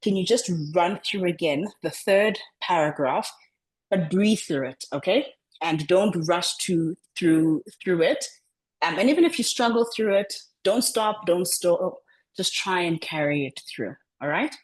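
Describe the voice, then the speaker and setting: A woman coaching public speaking over a video call, talking in a deliberately lowered register and often repeating words as she restarts. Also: animated, low-quality recording. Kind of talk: online coaching session.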